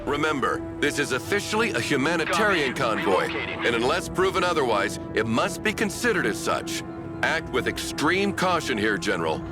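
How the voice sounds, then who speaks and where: A man's voice: mid-range voice, sing-song, moderate pace, lightly acted. A man playing a cautious adviser in a military video game, speaking in an explanatory, insistent way. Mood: warning. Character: cautious, worldly adviser briefing a military general. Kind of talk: dramatic video game voice acting. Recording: loud music behind the voice.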